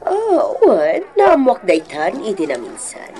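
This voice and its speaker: A woman playing a composed grocery boss, measured and firm with an Ilocano accent in a radio drama. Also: medium pitch, huge pitch swings, mellow. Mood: calm, firm. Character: composed female grocery boss standing by her employee.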